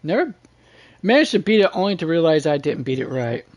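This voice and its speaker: A man's voice: on the high side, very animated, a little fast, darkish tone. A man chatting casually and rambling a little as he hosts a live game stream. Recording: music playing behind the voice. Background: music.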